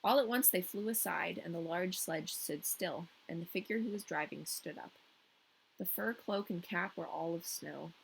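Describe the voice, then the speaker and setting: A woman's voice: on the low side, normal speed, gravelly, slight background noise, low-quality recording. A woman reading a fairy tale as a storyteller, informal and playful with her listener. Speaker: storyteller, podcast host. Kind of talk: scripted fairy tale narration with casual asides.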